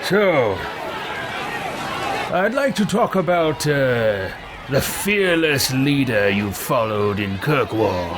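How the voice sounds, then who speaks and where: An imperious, self-important fantasy hero as put on by a male player for a game roleplay. The delivery is slow and contemptuous, with dramatic swings in pitch and an irritable edge, over clear background noise. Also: sing-song, crowd noise. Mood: probing. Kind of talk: roleplayed video game dialogue.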